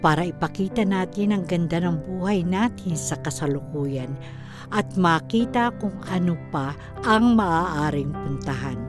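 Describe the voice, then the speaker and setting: A woman delivering scripted dramatic narration in a reflective, measured way, as a radio drama narrator reading a letter. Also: lowish voice, lively intonation, over music.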